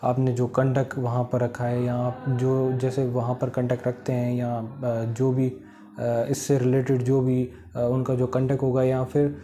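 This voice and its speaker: A man's voice: medium pitch, monotone, a little slow, warm tone, faint music behind. A man with a Pakistani accent giving an informal, explanatory law lecture, mixing English legal terms into his explanations.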